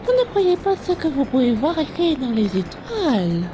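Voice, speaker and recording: A woman's voice: high-pitched, very animated, noisy background. A woman voicing a mocking, theatrical fortune-telling villainess in a cartoon comic fan dub, taunting and drawing out her words. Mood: smug. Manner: taunting, drawn-out, swooping. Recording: music behind the voice.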